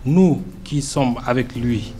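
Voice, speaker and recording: A man's voice: medium pitch, slow pace, warm tone, faint music behind. A man with a Senegalese French accent, not a native French speaker, speaking as a guest in a political radio interview, earnest and hesitant, with many fillers and restarts. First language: Arabic-educated, not a French native speaker.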